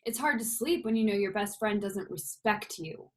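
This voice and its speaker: A woman's voice: medium pitch, normal speed, darkish tone, natural voice. A woman playing a sensitive, earnest, slightly sulky young woman in a script read-through. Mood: hurt, sulky.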